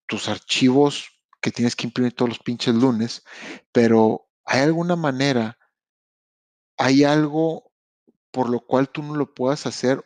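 A man with a Mexican accent talks straight to his podcast listeners, frank and slangy, and often restarts his sentences. His voice sits at an ordinary pitch. It is recorded dry and close, with no room echo. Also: darkish tone. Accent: Mexican Spanish. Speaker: podcast host. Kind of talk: casual motivational podcast monologue. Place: lives in Canada.

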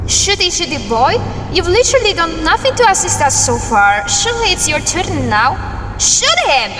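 Parody superheroine, played by a woman: fierce, sarcastic, very animated, heard in a reverberant hall. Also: very high-pitched, normal speed, music in the background. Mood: exasperated. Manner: bossy, sarcastic, brash. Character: fierce, sassy, scornful female superhero. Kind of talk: superhero parody audio drama.

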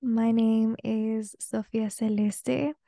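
Young woman, Spanish native speaker, solo podcast host, earnest and casual, warm mellow tone, dry close sound. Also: on the high side, little pitch movement, slow, gravelly, no echo. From Peru. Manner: earnest, open, casual with slang. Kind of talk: reflective solo podcast talk.

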